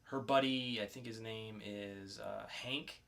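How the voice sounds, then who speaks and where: Male podcast co-host, bright tone, casual comic-review delivery, conversational with punched announcements. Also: lowish voice, fairly even intonation, takes it slowly, vocal fry.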